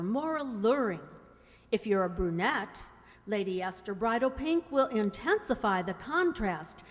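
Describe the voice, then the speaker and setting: Female commercial announcer, vintage radio ad read, measured pace, reverberant hall. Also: mid-range voice. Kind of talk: scripted 1940s-style radio advertisement. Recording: echoey hall, long reverb.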